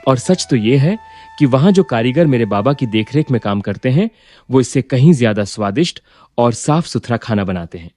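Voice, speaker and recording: Cartoon story role: impatient, ambitious young son, male actor, lively intonation, soft dark timbre, dismissive edge. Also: medium pitch, normal speed, soft background music, natural voice. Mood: boastful. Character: ambitious, impatient young business graduate, son of eatery owner. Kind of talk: Hindi cartoon story voice acting.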